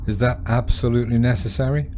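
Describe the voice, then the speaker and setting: An actor playing an aloof, stern alien superior in an audio drama, with formal, clipped, commanding delivery. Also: male voice, mid-range voice, fairly even intonation, fast, background noise, close to an everyday voice. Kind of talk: scripted audio drama acting.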